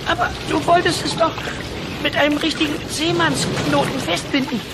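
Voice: high voice, fairly even intonation, normal speed. An actor playing a timid, naive cartoon sidekick in a children's audio play, whiny and stammering, with noise behind. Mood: puzzled. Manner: hesitant, stammering.

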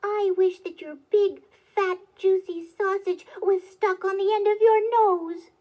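Lady doing an excitable storybook wife, very high voice, talks in big exclamations. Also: unhurried. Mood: scolding. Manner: exclamatory, emphatic. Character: excitable, scolding woodcutter's wife. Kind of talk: children's storybook character voice.

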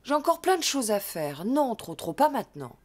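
A woman voicing a gentle, busy mother donkey in a children's cartoon, soft and motherly. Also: on the high side, big pitch swings, normal speed. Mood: busy, kind. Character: gentle, busy mummy donkey, grown female. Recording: music clearly behind the voice.

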